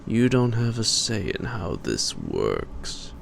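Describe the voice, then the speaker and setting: A man playing a suave, psychotic gentleman vampire in an audio roleplay, pompous, taunting and predatory, with drawn-out and sometimes whispered delivery. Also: huge pitch swings, full character voice. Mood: menacing, condescending. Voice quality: very deep, gravelly. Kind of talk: theatrical roleplay monologue to the listener. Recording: noisy amateur home recording.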